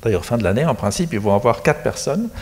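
A man's voice: mid-range voice, lively intonation, quick pace, big-room sound. A middle-aged man speaking as an expert guest in a studio interview, explaining technical matters in a plain, matter-of-fact way into a handheld mic. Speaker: space agency expert guest. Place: studio set with screens.

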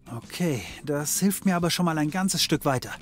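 An actor playing a hard-drinking occult detective in a comedy audio drama, speaking in a casual, probing, slangy way. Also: male voice, a little high, moderate pace, soft background music, natural voice. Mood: businesslike. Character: male occult private detective, dry, hard-drinking, pale.

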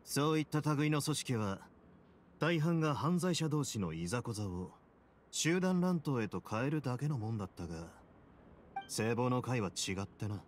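A male actor playing a composed, confident prison boss in a Japanese game, speaking in a measured, dryly courteous way. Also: mid-range voice, expressive, a little hiss or hum, close to an everyday voice. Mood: casual, assured. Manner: measured, cool, casually self-assured. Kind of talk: Japanese anime game voice acting. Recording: studio voice over game music.

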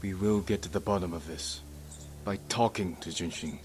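An actor playing a resolute samurai lord in a video game, speaking in a grave, measured, firm way. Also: male voice, low-pitched, natural voice. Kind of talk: restrained dramatic game dialogue. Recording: dialogue over loud game ambience.

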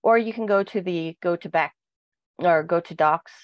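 A woman with a cold, sounding nasal, walking through software on a screen share with plenty of fillers. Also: fairly low pitch, normal speed, no echo, muffled recording. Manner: steady explaining with frequent fillers. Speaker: product trainer. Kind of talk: software walkthrough.

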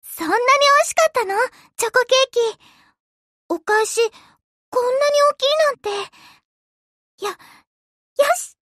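A woman's voice: very high voice, animated, a little slow, pauses often, a bit bright, no echo, clean studio sound, heavily acted. A woman voicing an earnest, girlish young warship girl in an anime game. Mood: surprised. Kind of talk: Japanese anime game voice acting.

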